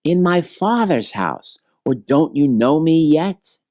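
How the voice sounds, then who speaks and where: A man voicing a devout twelve-year-old boy in a children's storybook, gently and solemnly. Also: on the high side, big pitch swings, slow pace, no room sound, faint music behind, pitched above the performer's own voice. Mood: gentle reproach.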